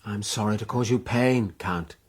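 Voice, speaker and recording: An actor playing an earnest, determined young gentleman with an upper-class English accent in a period radio drama. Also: lowish voice, normal speed, breathy edge. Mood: earnest, probing. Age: young adult.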